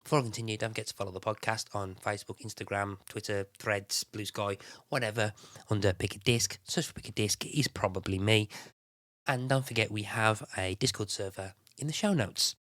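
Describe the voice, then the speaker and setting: A man hosting a music podcast in casual chat, his voice a bit croaky, rambling with frequent restarts. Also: on the low side, fairly quick, a bit bright, no room sound, clean studio sound. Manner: rambling, restarts often, chuckles. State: slightly croaky voice. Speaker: podcast host.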